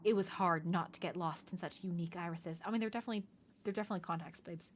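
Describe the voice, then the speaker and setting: A woman streaming a game, reading its text aloud and reacting in an animated, exasperated way, with a hoarse voice. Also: lowish voice, very fast.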